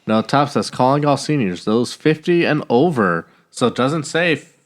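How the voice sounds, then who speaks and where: A man's voice: medium pitch, lively intonation, moderate pace. A man co-hosting a podcast in casual, informal chat. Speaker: podcast co-host.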